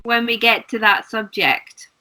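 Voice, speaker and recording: A woman's voice: fairly high pitch, measured pace, bright, forceful tone, poor audio. A woman, co-hosting a casual chat, affirming and agreeable. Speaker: co-host.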